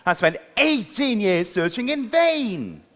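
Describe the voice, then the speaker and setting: A man's voice: high-pitched, sing-song, slow pace, fairly bright tone, full character voice. Man playing a swooping, pompous pantomime royal. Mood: sarcastic. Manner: blustering, sarcastic. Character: pompous, sarcastic married ruler in fairy-tale kingdom. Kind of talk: live pantomime stage acting. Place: theatre stage, live show. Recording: clearly noisy live stage recording.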